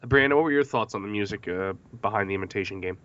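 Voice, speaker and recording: Bright-voiced man hosting a podcast. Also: fairly low pitch, fast talker, dry recording, lo-fi sound. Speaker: podcast host. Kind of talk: podcast movie discussion, reading facts from notes.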